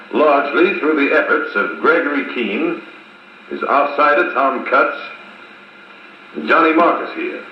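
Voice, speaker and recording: An actor playing a brisk, decisive American military officer running a conference in a 1950s radio drama. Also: male voice, a little high. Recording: muffled, echoey 1950s wire recording with hiss.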